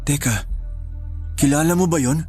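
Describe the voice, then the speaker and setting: A man's voice: fairly high pitch, moderate pace, a little mellow, natural voice. An actor with a Filipino accent playing a puzzled, wary young man at a village wake in a radio drama. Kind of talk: Tagalog radio drama acting. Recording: music bed behind the voice.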